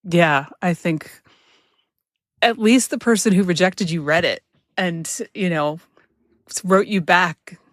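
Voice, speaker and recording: Lower-pitched American woman hosting an interview. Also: slow, long pauses, no room sound, studio quality. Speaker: podcast host, interviewer. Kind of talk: casual interview chat.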